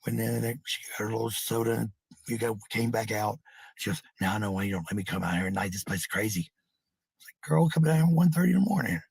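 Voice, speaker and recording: A middle-aged man with a gravelly, husky voice talking casually to viewers in a blunt, profane, rambling monologue, repeating phrases for emphasis. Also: lowish voice, quick pace, darkish tone, dry recording.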